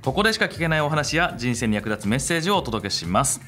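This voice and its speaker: A man's voice: mid-range voice, big pitch swings, fast. A middle-aged man, hosting a radio talk show in a reflective, conversational way. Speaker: radio show host and company founder. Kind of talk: conversational radio monologue and interviewing. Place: small room, seated at a table. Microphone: table microphone on a stand. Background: soft music.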